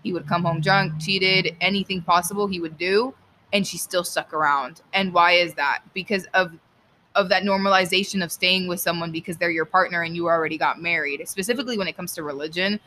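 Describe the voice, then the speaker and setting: A woman's voice: lowish voice, steady tone, a little fast, pushed and bright, slight background noise, rough recording. A young woman hosting a podcast in casual, opinionated chat, blunt and emphatic and prone to swearing. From Cuban background. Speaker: podcast host. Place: outdoors.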